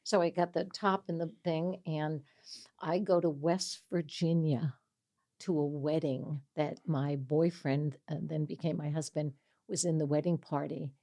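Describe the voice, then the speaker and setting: A woman in her seventies hosts a relaxed conversation, talking in a lower register. She is chatty and animated and often laughs while she tells stories. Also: a little slow. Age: 70s. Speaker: host, mental health coach. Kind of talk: casual interview chat.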